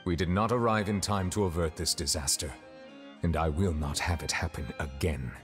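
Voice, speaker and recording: An actor playing a stern, formal chief judge in a video game, speaking in a solemn, measured way. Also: male voice, sits low, soft background music, close to an everyday voice. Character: grave, stern, formal male chief judge pressing a god.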